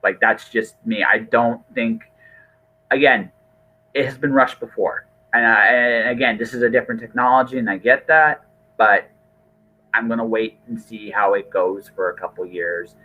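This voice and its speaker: A man's voice: mid-range voice, steady tone, normal speed, phone-quality sound, faint music behind. A man hosting a podcast in casual conversation, talking informally and often repeating and rephrasing himself. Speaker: podcast host. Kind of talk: casual interview chat.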